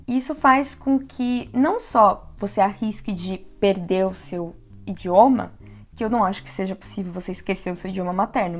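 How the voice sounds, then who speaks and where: Gravelly São Paulo woman vlogging. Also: medium pitch. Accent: São Paulo Portuguese, softened. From Brazil, São Paulo. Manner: chatty, reflective, laughs easily. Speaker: vlogger living abroad. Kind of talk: casual advice vlog.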